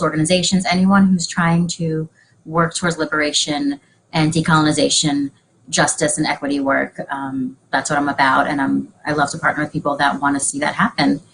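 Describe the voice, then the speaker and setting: A woman's voice: sits low, fairly bright tone, rough recording. A young woman from Venezuela casually introducing herself as a panel guest on a video call from a room at home. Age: young adult. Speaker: panel guest, organization founder. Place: small room at home, indoors. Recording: clear background noise, room ambience.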